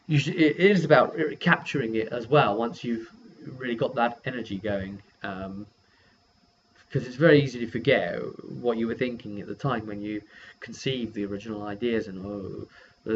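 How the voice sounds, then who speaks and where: A man giving a casual, rambling recap as a game master and video host, halting and often correcting himself mid-sentence. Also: fairly low pitch. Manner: halting, self-correcting delivery. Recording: dull lo-fi sound with faint noise.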